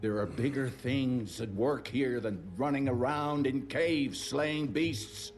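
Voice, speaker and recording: A man's voice: medium pitch, takes it slowly, soft background music. An actor playing a condescending, domineering male director who talks down to his son. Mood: imperious. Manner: condescending, imperious. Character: cold, domineering male director, middle-aged. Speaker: institute director.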